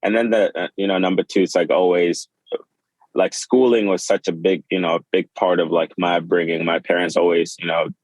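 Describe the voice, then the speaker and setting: A man in his twenties, born in Sudan and raised in Kenya, speaking as a podcast guest in a halting, casual way with many fillers and restarts. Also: sits low, level tone, no room sound, low-quality recording. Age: 20s. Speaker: podcast guest, clothing designer. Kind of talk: casual interview.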